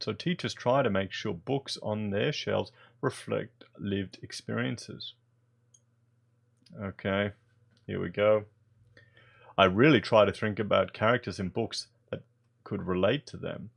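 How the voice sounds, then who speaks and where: A man's voice: on the low side, gravelly. A man in his thirties whose first language is German, reading a news article aloud and breaking in with sarcastic, exasperated commentary. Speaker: political commentator.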